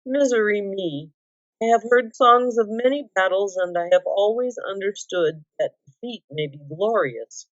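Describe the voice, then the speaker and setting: A woman voicing a small, fretful, excitable male hobbit in a storybook, with polite old-fashioned phrasing. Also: medium pitch, unhurried, natural voice. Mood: miserable. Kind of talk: dramatic storybook reading. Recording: echoey room, slightly dull sound.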